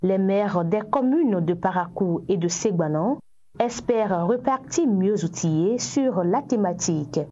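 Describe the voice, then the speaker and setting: A woman's voice: mid-range voice, expressive, a little slow, mellow, lo-fi sound. A woman news reporter reads a scripted voice-over.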